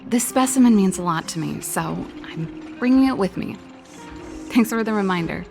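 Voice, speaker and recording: A woman voicing a spirited, wistful young elder sister who invents and plays music in a video game, with a breezy, expressive delivery. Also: mid-range voice, moderate pace, natural voice. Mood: lively, teasing. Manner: breezy, expressive, with sighs and trailing reflection. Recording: studio voice over background music. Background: game background music.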